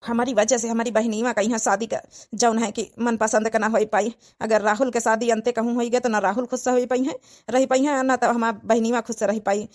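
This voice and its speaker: A widowed village father devoted to his child , voiced by a woman in a cartoon family drama. The voice sits a little high for a woman. He talks earnestly and plainly in an Awadhi accent. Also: flat delivery, a little fast, a little mellow, close to an everyday voice. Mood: sorrowful, guilty. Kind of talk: cartoon comedy-drama dialogue in village dialect.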